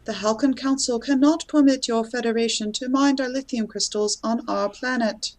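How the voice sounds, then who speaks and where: A woman playing a solemn, unyielding female alien councillor in a sci-fi fan audio drama, speaking formally and gravely. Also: fairly high pitch, fairly even intonation, normal speed, natural voice. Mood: solemn, resolute.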